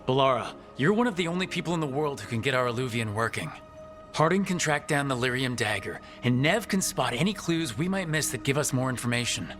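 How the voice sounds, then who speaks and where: A man's voice: mid-range voice, animated, fairly quick, a bit bright, soft background music, lightly acted. A man playing an earnest young hero and party leader in a fantasy game, direct and plain-spoken. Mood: resolute.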